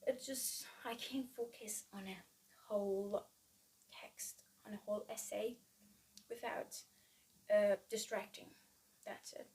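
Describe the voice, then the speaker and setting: Slow, hesitant woman. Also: medium pitch, dark tone. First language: Persian. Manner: hesitant, many fillers and restarts. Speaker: exam-prep vlogger. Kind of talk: casual study-plan talk.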